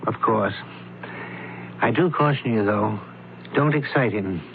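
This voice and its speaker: A man playing a shrewd, composed doctor sleuth with a measured, probing, courteous manner in a radio drama. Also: fairly low pitch. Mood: composed, scheming. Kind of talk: old-time radio drama acting. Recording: thin, noisy phone-like vintage radio recording.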